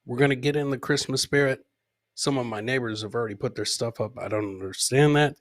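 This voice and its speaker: A man's voice: medium pitch, brisk. A man hosting a live comedy podcast, casually commenting on fail clips and repeating his own lines as he chats with viewers.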